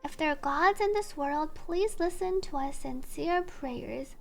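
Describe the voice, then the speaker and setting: Super high pitched girly streamer woman, bright voice, chatting and reading game text, music playing behind her. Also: unhurried, vocal fry. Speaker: livestreamer playing a video game. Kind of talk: casual game stream chat, reading on-screen text aloud. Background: video game music.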